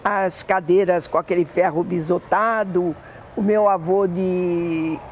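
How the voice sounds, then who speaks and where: An older woman with a Brazilian Portuguese accent, fondly recalling family memories in an oral history interview, often pausing to correct herself. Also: on the low side, steady tone, darkish tone, a little hiss or hum. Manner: fond, meandering reminiscing with self-corrections.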